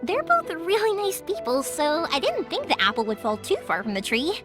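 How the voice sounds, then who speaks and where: An actress playing a cheerful, lively young fireworks maker in a video game, talking in a playful, warm-hearted way. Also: female voice, very high voice, moderate pace, full character voice. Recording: game soundtrack music faint behind.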